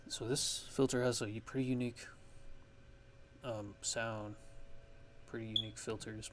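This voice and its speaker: Flat, low-key man giving a halting tutorial. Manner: halting, rambling, thinking aloud. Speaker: music production tutorial host. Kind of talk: casual screen-recorded software tutorial. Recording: clear background noise.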